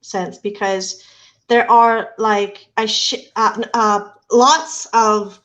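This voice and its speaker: A woman's voice: mid-range voice, steady tone, slow, a bit bright. A woman from Italy, a comic artist guest on a casual livestream chat, chatty and giggly, using lots of fillers.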